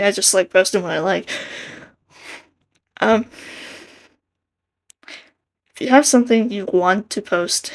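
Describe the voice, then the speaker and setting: A soft-spoken young woman with a quiet voice, giving earnest casual commentary and laughing between thoughts. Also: mid-range voice. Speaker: art commentary video maker. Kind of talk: casual commentary over a speedpaint.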